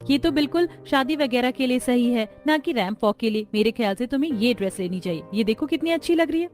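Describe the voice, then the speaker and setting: A woman's voice: a little high, lively intonation, fast, darkish tone, lightly acted. Young Indian daughter-in-law voiced by a woman, light music behind. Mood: friendly, encouraging. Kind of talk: cartoon story dialogue.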